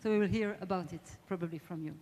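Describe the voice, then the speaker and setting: A woman moderates a conference debate in English with a Belgian French accent. Her voice is soft-edged and mellow, and she often searches for her words. The sound carries the long reverberation of a big hall. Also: on the low side. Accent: French-speaking Belgian. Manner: halting, searching for words in non-native English. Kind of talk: live panel introduction at a conference. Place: large indoor conference hall, amplified.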